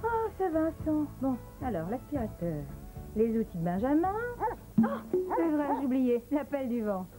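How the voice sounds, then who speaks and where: An actress voicing a kind but harried, flustered mother in a French animated series, polite yet exasperated. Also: sits high, moderate pace, music in the background, poor audio. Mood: grumbling.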